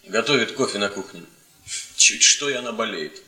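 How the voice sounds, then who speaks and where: An actor playing a restrained, self-regarding young academic husband in a radio play, with a stiff, polite, measured manner. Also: male voice, lowish voice, lively intonation, sharp tone. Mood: embarrassed. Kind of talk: theatrical radio play acting. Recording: echoey room radio theatre recording.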